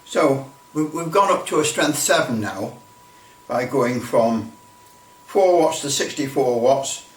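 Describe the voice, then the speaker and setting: A man's voice: medium pitch, lively intonation, slow pace. A man giving an informal step-by-step demonstration, explaining as he goes and often repeating himself. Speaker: presenter of a hobby demonstration. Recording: roomy echo, distant microphone.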